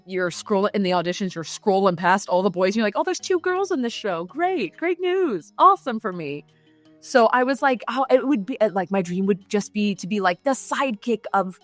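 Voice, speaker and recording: Gushing, animated woman in a dry room. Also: mid-range voice, no room sound. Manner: animated, gushing, many fillers and quick asides. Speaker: voice actor guest. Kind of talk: casual interview chat.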